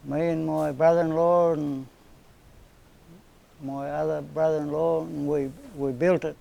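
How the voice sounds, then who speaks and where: A man in his seventies from northern New South Wales with an Australian accent, telling stories of his life in a relaxed, plain-spoken way during an interview. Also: on the high side, unhurried, gravelly, poor audio. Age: 70s. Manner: plain-spoken, rambling storyteller with everyday slang. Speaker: interviewee, community elder. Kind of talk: storytelling in casual conversation.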